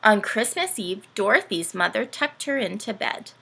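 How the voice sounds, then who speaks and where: A woman reading a story aloud to children in an animated, expressive way, inviting them in. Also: mid-range voice, normal speed, creaky, slight background noise. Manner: animated, asks the young listeners questions.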